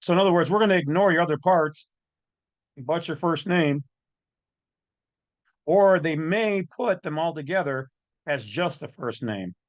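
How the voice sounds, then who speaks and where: A man's voice: fairly high pitch, lively intonation, measured pace, pauses often. Man giving folksy, opinionated commentary. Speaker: host of an online legal commentary channel. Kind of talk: informal off-the-cuff commentary.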